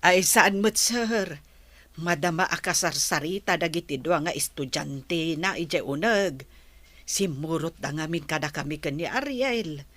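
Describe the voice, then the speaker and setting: A woman's voice: low-pitched, lively intonation, measured pace, a bit bright, dry recording, exaggerated voice. A woman playing a respectful, caring older woman of the household in an Ilocano radio drama. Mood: deferential.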